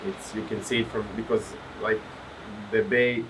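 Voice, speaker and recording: Croatian man, low voice, storytelling. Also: moderate pace, noisy background. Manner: animated storytelling, searches for words. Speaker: guest, pro windsurfer. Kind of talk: casual podcast interview.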